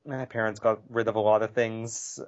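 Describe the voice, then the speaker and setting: A man in his twenties chatting on a podcast in a relaxed, reminiscing way. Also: fairly low pitch, normal speed, muffled recording. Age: 20s. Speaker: podcast co-host. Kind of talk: casual podcast chat.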